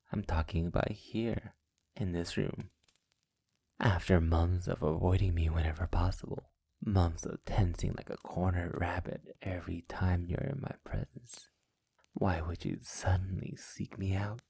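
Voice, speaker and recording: Man playing a very low, gravelly vampire master. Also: mellow, exaggerated voice. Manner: slow, teasing, often dropping into breathy whispers; silky, formal, condescending endearments. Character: sadistic possessive male vampire master, suave and menacing. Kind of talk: ASMR roleplay, intimate scripted monologue. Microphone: close mic in a dry, quiet space.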